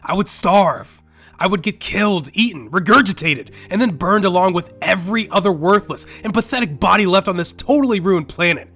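A man playing a bitter, despairing survivor of a dying planet in an audio drama, profane, dramatic and halting. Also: sits high, lively intonation, bright, forceful tone, heavily acted. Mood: anguished rage.

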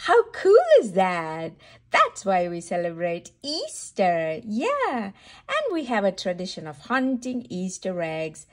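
Woman, high voice, lively swooping intonation, toddler storytime read-aloud, sing-song, exclaiming. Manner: sing-song, exclaiming, simple questions to a small child. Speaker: children's storytime host.

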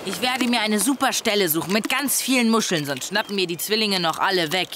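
A woman's voice: medium pitch, a little fast, natural voice. A woman voicing an eager, whiny young boy in a German cartoon dub, exclaiming dramatically. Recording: loud music behind.

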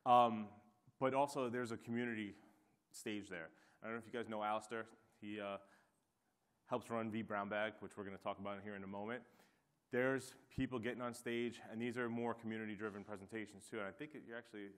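A man's voice: lowish voice, fairly even intonation, brisk. A man presenting tips to a conference audience in an upbeat, chatty way. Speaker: presenter, host of the session. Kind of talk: conference panel talk.

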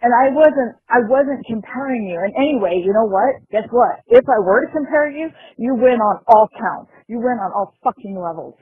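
A woman's voice: a little high, expressive, normal speed, hardly any pauses, husky, no echo. A woman leaving a personal, conversational voicemail message, recorded over a lo-fi phone line.

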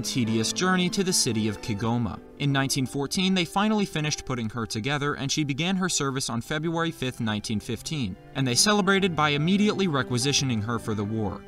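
A man tells a history story with dry, sarcastic asides, his voice rising and falling in lively swings. Soft music plays faintly beneath the voice-over. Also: medium pitch. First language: not German. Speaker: history video narrator. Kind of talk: scripted comedic narration. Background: faint background music.

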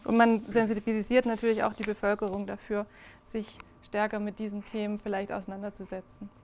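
A woman's voice: medium pitch, flat delivery, a little fast, darkish tone, slight background noise. A young woman giving a presentation to a small audience indoors, talking with many fillers and restarts. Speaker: project presenter. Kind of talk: presentation talk. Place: indoor reading room, in front of a small audience.

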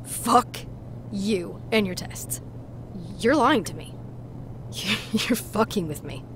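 Slow-talking troubled woman. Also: medium pitch, animated, a bit bright, slight background noise. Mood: angry denial. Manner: halting, disbelieving, foul-mouthed. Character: troubled woman patient, defensive, in shocked denial. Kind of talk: visual novel voice acting.

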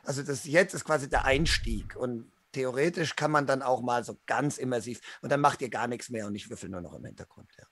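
A man's voice: mid-range voice, a little fast, hardly any pauses, fairly bright tone, no echo. A man working as a game master, casually explaining his approach to the others on a group call. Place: indoors on a group video call.

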